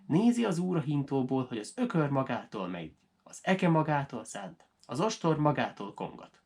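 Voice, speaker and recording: A man narrating a folk tale as a storyteller, in an animated, dramatic way for language learners. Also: mid-range voice, breathy edge.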